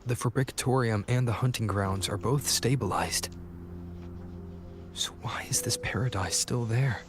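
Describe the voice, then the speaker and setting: A man playing a calm, thoughtful young male hero in a video game, with an earnest delivery. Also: mid-range voice, normal speed, over music, natural voice. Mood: pensive.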